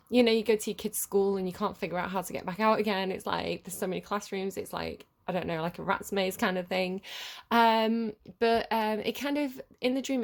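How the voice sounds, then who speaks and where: A woman talks through a tarot reading in a loose, wandering way, stopping and restarting often. Her voice is sits at an ordinary middle pitch and is stuffy and sniffly from a cold. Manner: rambling and hesitant, many ums and restarts. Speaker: tarot reader. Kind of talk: casual collective tarot reading to camera.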